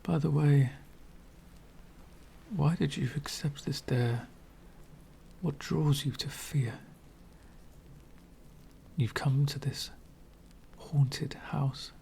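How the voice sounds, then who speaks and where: A man playing an elegant, centuries-old vampire gentleman, speaking softly and half whispering in a refined, wistful ASMR roleplay. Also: mid-range voice, slight background noise. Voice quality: soft, breathy whispering. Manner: refined, wistful, slow and deliberate.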